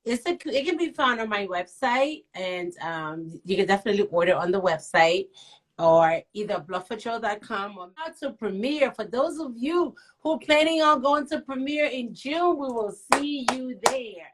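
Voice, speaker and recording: A middle-aged woman from Liberia, a nail professional and author, telling her story as an interview guest in a lively, teasing way. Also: fairly high pitch, moderate pace. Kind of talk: casual interview.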